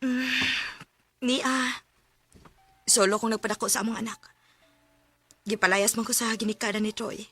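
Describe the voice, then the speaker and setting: A woman's voice: on the high side, steady tone, normal speed, long pauses, sharp tone, slightly rough. A woman with a Cebuano accent playing a remorseful young single mother in a radio drama. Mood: subdued. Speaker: former lover returned home.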